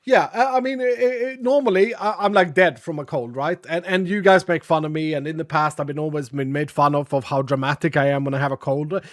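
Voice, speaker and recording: Raspy, high-voiced man with a cold. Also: fairly even intonation, a little fast, few pauses. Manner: chatty, dramatic, thanks viewers often. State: has a cold, sniffly. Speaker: streamer. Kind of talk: casual live-stream chat.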